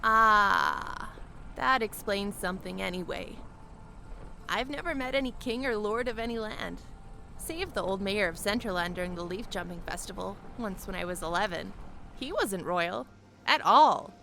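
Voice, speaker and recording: A woman's voice: mid-range voice, animated, normal speed, vocal fry, pushed and bright, a little hiss or hum. A woman playing a young airship pilot in an audio drama, practical and curious, talking in a frank, conversational way.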